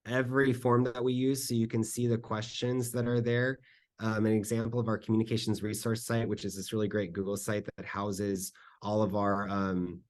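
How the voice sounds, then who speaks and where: Low-voiced man giving informal, explanatory advice. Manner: conversational, explanatory, seeks agreement with "right?". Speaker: panelist, school district communications staffer. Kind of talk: conference presentation Q&A, informal advice.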